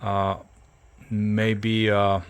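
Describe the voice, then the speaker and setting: A man giving a casual hands-on tutorial, speaking haltingly as he thinks aloud while working. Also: on the low side, monotone, a crowd in the background. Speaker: CB radio hobbyist demonstrating an installation.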